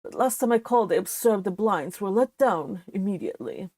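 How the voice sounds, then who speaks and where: A pompous older Regency gentleman, read by a woman, vain and superficial. He talks in a condescending manner, as in a storybook read aloud. The sound is very dry and free of room echo. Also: mid-range voice, lively intonation, fairly quick, lightly acted.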